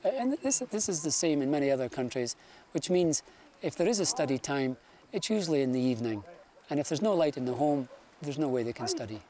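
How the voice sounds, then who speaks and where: A man's voice: a little high, sing-song, a little fast. Man explaining plainly in an interview. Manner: plain, explanatory, a few restarts. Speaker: electrical engineer.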